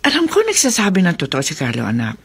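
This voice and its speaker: Market-vendor mom for radio drama: caring, persuasive, very lively intonation. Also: female voice, lowish voice, fast talker, slightly rough, exaggerated voice. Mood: earnest, reassuring. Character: caring, persuasive middle-aged mother who keeps a market stall. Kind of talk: Filipino radio drama acting.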